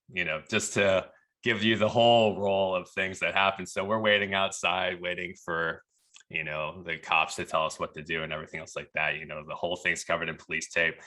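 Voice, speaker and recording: A man from Texas tells a story on a podcast, loose and conversational. His voice sits low but has a bright, forward edge to it. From Texas, USA. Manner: relaxed, chatty, laughs at the absurd parts. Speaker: podcast guest. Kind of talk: casual storytelling.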